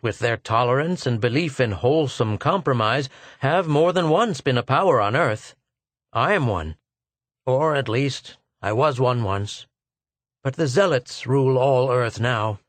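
A man's voice: mid-range voice, dry recording, natural voice. A man doing a grim, weary old physicist. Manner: grim, earnest and somber. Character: weary, haggard older male physicist, grim.

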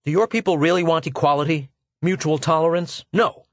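A man's voice: mid-range voice, expressive, moderate pace, no echo, voice pushed up, lightly acted. A man voicing a frank male archaeologist who speaks bluntly and gets exasperated easily.

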